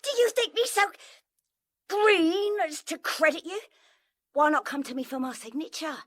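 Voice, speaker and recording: A woman's voice: high voice, lively intonation, moderate pace, a bit bright. An actress playing a fierce, suspicious pie-shop woman and villain's accomplice in a period audio drama, clipped and imperious. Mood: indignant.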